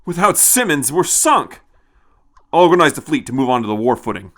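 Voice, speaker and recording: A man's voice: mid-range voice, sing-song, a little fast, fairly bright tone, voice pushed up. A man voicing an impatient, blustering military colonel in a science fiction story, brusque and indignant. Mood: impatient, alarmed.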